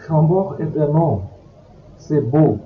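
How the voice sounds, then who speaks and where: A man with a somewhat high voice reads lesson texts at a very slow, deliberate pace. He pauses after every word so learners can follow. The recording is echoey and a little dull, with faint noise behind him. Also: expressive. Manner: reads each passage, then repeats it word by word. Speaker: language teacher.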